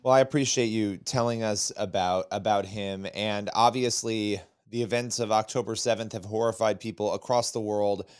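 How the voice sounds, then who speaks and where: Earnest male podcast host, a bit hoarse. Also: fairly low pitch, fairly even intonation, moderate pace, hardly any pauses. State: slightly hoarse. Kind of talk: interview podcast.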